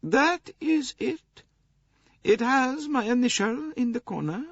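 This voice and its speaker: A man voicing an indomitable old Russian princess, haughty, cold and contemptuous, speaking with slow deliberation in an audiobook. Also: very high voice, lively intonation, a bit bright, no echo, highs cut off, pitched above the performer's own voice, heavily acted. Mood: imperious, cold.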